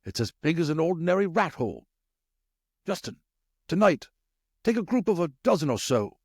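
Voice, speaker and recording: A commanding, weary rat leader from a storybook, played by a man, pitched well up and swinging widely. He speaks with deliberate urgency, close and dry. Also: very animated, darkish tone, slightly rough, dry recording. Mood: urgent, commanding.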